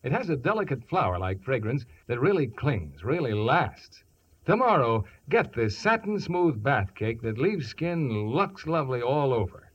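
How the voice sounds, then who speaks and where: Male announcer, lively and husky, mid-pitched, polished 1950s radio pitch style. Dull broadcast sound. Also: normal speed.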